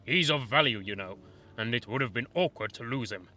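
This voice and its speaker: A man's voice: medium pitch, animated, brisk, sharp tone. A man voicing a nervous, evasive young male student who stammers and hurries his words.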